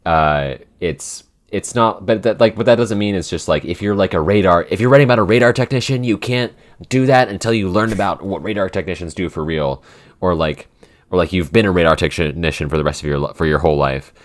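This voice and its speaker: A man's voice: low voice, fairly quick. A man chatting casually in a Q&A, explaining his thoughts in a rambling way with restarts mid-sentence. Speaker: podcast co-creator and writer. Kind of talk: casual Q&A chat.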